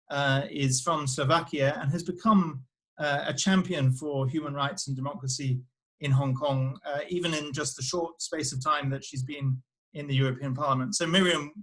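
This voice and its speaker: A man hosting a formal conversational interview, speaking in measured, courteous phrases. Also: fairly high pitch, fairly bright tone. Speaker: interview host.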